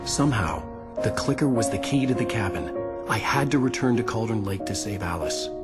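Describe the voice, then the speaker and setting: A man's voice: on the low side, expressive, fairly quick, loud music behind the voice, close to an everyday voice. An actor playing a troubled, determined horror writer in a video game, a middle-aged American man with an earnest, brooding delivery. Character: troubled, determined male horror writer narrating his ordeal. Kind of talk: scripted first-person thriller narration and game dialogue.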